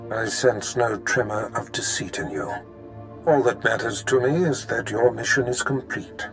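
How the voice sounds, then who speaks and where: An actor playing a calculating, imperious Sith master in a video game, speaking in a measured, formal way. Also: male voice, mid-range voice, fairly bright tone, faint music behind, natural voice. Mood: cold, commanding. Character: menacing Sith master, calculating, imperious older male villain. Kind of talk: cinematic game voice acting.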